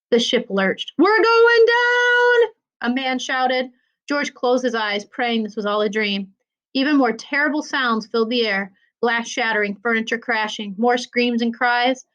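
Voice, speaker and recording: A woman with a fairly high voice reads a children's story aloud. Her delivery is expressive, in the manner of a school librarian. The recording is a little dull and lo-fi. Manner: expressive, acts out dialogue. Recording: dull lo-fi sound.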